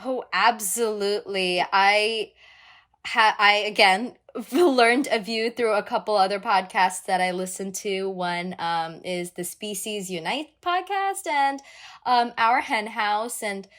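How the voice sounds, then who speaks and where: A woman's voice: mid-range voice, slow pace, a bit bright. A woman co-hosting a podcast, introducing her guest with gushing enthusiasm and then asking questions with frequent ums. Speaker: podcast co-host and interviewer.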